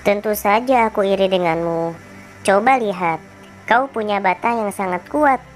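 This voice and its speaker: A male teacher voicing a gentle, wise female rose flower in a falsetto while reading a children's fable. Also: normal speed, faint music behind, close to an everyday voice. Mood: kindly explaining. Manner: falsetto, kindly and explaining.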